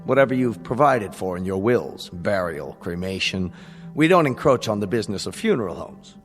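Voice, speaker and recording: An actor in a radio drama playing a smooth, courteous salesman with polished, businesslike patter. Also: lowish voice, expressive, moderate pace, close to an everyday voice. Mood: smooth, reassuring. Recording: long hall reverb, music underneath.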